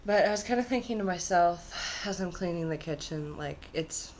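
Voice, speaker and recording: A woman with a low, slightly husky and nasal voice chats to her camera at home. She rambles from one thought to the next and often leaves sentences unfinished, with a faint hiss in the background. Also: animated, normal speed, poor audio. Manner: rambling, trailing off mid-thought. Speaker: vlogger. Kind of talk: casual rambling vlog. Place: her own apartment.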